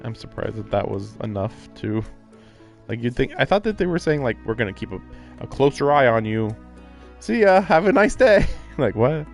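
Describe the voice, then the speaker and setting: A man's voice: mid-range voice, big pitch swings, moderate pace, vocal fry. A man doing casual livestream commentary and reading aloud, puzzled and musing as he goes. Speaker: livestreamer playing a visual novel. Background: game music.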